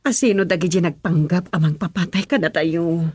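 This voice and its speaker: An actress playing a frightened lady in a radio drama, speaking in a hushed, breathy voice. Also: lowish voice, brisk, dry recording. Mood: fearful. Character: frightened wealthy lady, adult.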